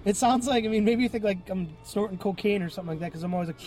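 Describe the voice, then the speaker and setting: High-voiced, stuffy-nosed man rambling. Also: rapid-fire, a little mellow, soft background music. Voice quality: stuffy, congested nose. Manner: clears throat and sniffs often; rambling, many fillers and self-corrections. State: tired. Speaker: podcast host. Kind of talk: rambling solo podcast monologue.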